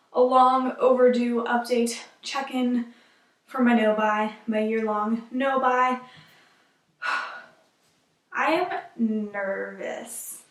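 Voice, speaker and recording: A young woman vlogging at home in casual chat, rambling with lots of "like" and fillers, a little sniffly from allergies. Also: high-pitched. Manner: rambling, filler-heavy, frequent "like". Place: room at home. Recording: echoey room, camera a bit far.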